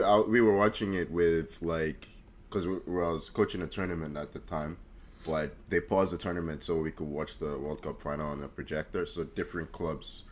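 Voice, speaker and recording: A man's voice: a bass voice, a little mellow. A man co-hosting a football podcast, talking casually and informally, with some swearing. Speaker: podcast co-host.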